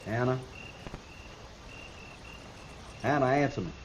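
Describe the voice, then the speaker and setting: An American actor playing a desperate, defiant outlaw in a 1950s radio western, with a strained way of talking. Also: male voice, medium pitch, fast, close to an everyday voice. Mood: wary, tense. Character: desperate, defiant male Old West outlaw. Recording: dull, faintly noisy old radio recording.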